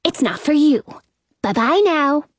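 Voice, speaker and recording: Woman putting on a wily old lady, storybook villain, sing-song, swooping pitch, slow delivery. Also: very animated, a bit bright, dry recording, exaggerated voice. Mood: grumpy, snappish. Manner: drawn-out, sing-song coaxing. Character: sly old lady apple seller, coaxing, turning grumpy.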